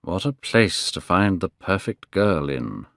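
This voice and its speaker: A man doing a languid cynical gentleman. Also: low voice, big pitch swings, vocal fry, dry recording, clean studio sound, heavily acted. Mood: languid, teasing. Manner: slow, drawling, cynical. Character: worldly gentleman, slow, languid, teasing.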